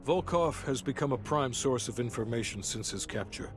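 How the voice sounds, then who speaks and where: An actor playing a hard-nosed, businesslike intelligence officer giving a matter-of-fact debrief in a video game. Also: male voice, medium pitch, expressive, normal speed, lightly acted. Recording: music under voice. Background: music.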